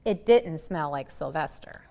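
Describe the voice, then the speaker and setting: Female picture-book reader, animated and dramatic, with very varied intonation. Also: low voice, a little slow, gravelly, slight background noise. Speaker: storybook reader. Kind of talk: expressive scripted narration of a children's picture book.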